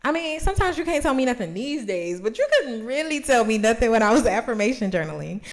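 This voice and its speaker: A woman's voice: on the high side, very animated, quick pace. Thirty-year-old woman, encouraging and emphatic. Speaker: host of a journaling video series. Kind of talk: casual talk to camera.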